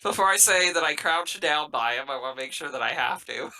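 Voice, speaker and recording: Male tabletop player, strikingly bright and forward voice, casual talk moving in and out of character. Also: a little high, animated, moderate pace, poor audio. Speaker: roleplaying game player, playing the captain.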